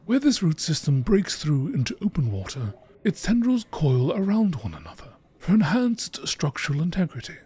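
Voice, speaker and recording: A man reading scripted lore in a nature documentary narration style, with measured, deliberate phrasing. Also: a little high, huge pitch swings, mellow. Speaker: video creator reading game lore aloud. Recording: clearly noisy background.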